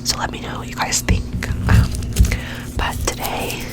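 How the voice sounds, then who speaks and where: Young female ASMR whisperer, congested and nasal, slow and breathy, right on the mic. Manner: soft rambling whisper, playfully repeats words. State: stuffy and nasal from a cold, sore throat. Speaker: ASMR creator. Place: home bedroom with dim warm lamp. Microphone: very close sensitive mic, gain turned up high.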